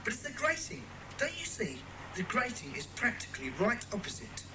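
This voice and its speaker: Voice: medium pitch, wildly swooping pitch, moderate pace, noisy background. An actor playing a keen, thorough young boy detective in a dramatised children's audiobook. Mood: discovering.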